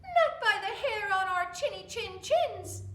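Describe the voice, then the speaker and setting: A woman voicing two scared little male pigs in a storybook tale, with high, squeaky, pleading voices. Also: sing-song, a little slow, sharp tone, echoey room, exaggerated voice.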